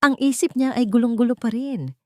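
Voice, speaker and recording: A woman narrating a romance story in a dramatic, scripted style with deliberate, suspenseful phrasing. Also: fairly high pitch, warm tone, no echo, clean studio sound.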